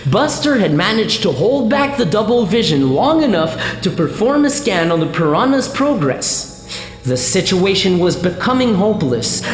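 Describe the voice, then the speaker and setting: A narrator reading scripted storytelling for a sci-fi comedy radio play. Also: medium pitch. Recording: echoey, long reverb, music behind.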